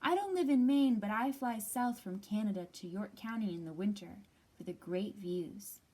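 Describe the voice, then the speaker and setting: A woman's voice: medium pitch, moderate pace, dark tone, breathy edge, close to an everyday voice. A woman reading as a curious, big-eyed owl in a picture book, with an earnest, wondering manner. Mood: earnest, admiring.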